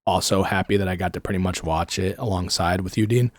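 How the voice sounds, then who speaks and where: A man co-hosting a casual chat show, his voice going hoarse, reviewing with enthusiasm. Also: sits low, steady tone, fast talker, no echo. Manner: enthusiastic, rating and reviewing at length. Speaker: podcast co-host.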